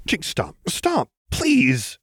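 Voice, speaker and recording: A man voicing a gentle, earnest young woman mage who speaks softly and pleadingly. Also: fairly low pitch, lively intonation, normal speed, breathy edge, no room sound, studio quality, voice pushed up. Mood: alarmed. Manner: soft-spoken and pleading.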